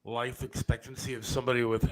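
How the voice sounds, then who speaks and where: A man's voice: medium pitch, level tone, fast talker. A man co-hosting a casual podcast chat, easygoing and a little hesitant. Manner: easygoing, hesitant, many fillers. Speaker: podcast co-host.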